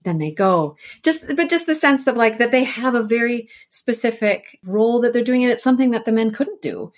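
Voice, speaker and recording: A woman's voice: fairly high pitch, animated, brisk. A woman speaking as a podcast guest, explaining literature in a thoughtful, enthusiastic, conversational way. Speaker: interview guest, author and editor.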